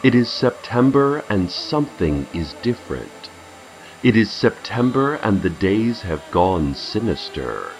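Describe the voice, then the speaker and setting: An actor playing a solemn community radio host, delivering portentous, measured narration in a fiction podcast. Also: male voice, lowish voice, big pitch swings, soft background music, poor audio. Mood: ominous, solemn.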